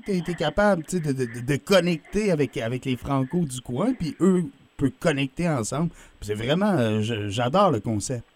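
A man's voice: medium pitch, animated, moderate pace, hardly any pauses. A man with a Canadian French accent hosting a casual, upbeat interview as a radio host.

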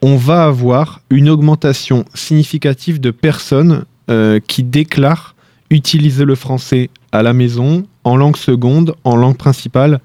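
A male radio host asks measured questions, often pausing on fillers. His voice is mellow and relaxed, recorded close and dry. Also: mid-range voice, no room sound. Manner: measured questions with many hesitations. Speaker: radio interviewer. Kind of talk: radio interview.

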